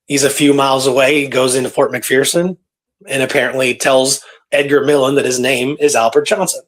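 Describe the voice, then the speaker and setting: A man hosting a radio show, telling a historical case in a casual, animated, conversational way. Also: mid-range voice.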